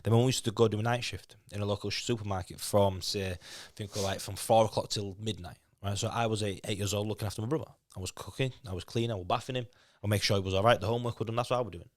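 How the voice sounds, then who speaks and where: Yorkshire-accented man, lithuanian native speaker, quick talker, fairly low pitch, dry close sound, candid and sweary. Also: steady tone, dry recording. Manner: candid, sweary, repeats words and restarts. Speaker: podcast guest. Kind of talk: casual chat. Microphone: podcast mic.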